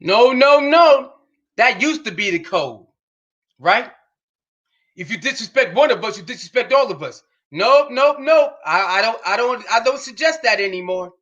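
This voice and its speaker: A man hosting a reaction show, commenting on a podcast clip with indignant rhetorical questions and bursts of shouting. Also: very high-pitched, expressive, normal speed, highs cut off.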